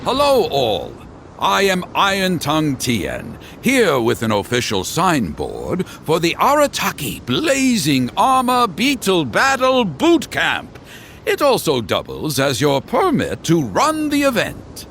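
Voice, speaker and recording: A genial, humble storyteller from a video game, with a creaky edge to the voice and very animated, sweeping intonation. The speech is eloquent and courteous, with faint noise behind it. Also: male voice, on the high side, slow, exaggerated voice.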